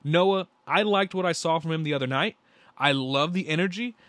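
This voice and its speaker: Excitable young male podcast co-host, bright-leaning pitch, dry studio sound. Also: moderate pace, no echo. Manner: excitable, slangy, repeats himself. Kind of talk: casual basketball chat.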